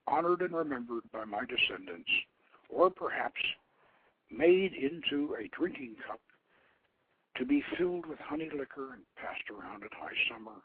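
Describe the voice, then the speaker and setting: Man playing a bold, declamatory young prince, raised pitch. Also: narrow, tinny audio, a little hiss or hum. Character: bold young hunter prince, proud, clever, defiant.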